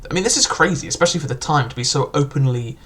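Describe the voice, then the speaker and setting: A man's voice: a little high, animated, racing, fairly bright tone. A young man casually reviewing a book, chatty and loose, with frequent filler words and restarts.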